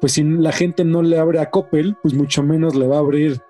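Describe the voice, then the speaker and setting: Higher-voiced Mexican man hosting, mellow tone. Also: fairly even intonation, normal speed. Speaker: podcast host. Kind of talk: casual, animated storytelling. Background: faint music.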